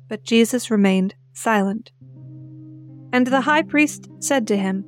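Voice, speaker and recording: A woman narrating, giving a dramatic reading from the Bible. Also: on the high side. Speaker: scripture narrator. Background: plucked guitar music.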